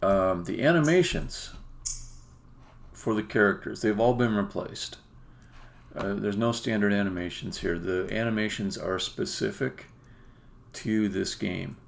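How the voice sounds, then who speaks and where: Guy w a low gravelly voice doing a casual game dev stream, kinda halting, pauses mid sentence. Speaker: game developer, stream host. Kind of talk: casual live demo commentary.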